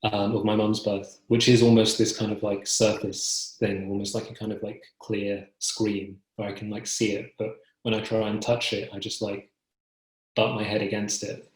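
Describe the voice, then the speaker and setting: Young guy poet being interviewed, lowish flat voice, keeps stopping and rewording, echoey video call. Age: young adult. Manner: hesitant, halting, self-correcting. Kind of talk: reflective interview talk. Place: book-lined room at home, indoors. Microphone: computer mic on video call. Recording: roomy, echoing video call.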